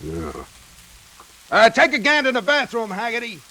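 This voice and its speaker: A man's voice: extremely high pitch, wildly swooping pitch, normal speed, bright, forceful tone, heavily acted, low-quality recording. An American man playing a brusque, self-important police lieutenant in a 1940s radio crime drama. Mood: suspicious, commanding. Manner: brusque, bossy. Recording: vintage 1940s radio, rain behind.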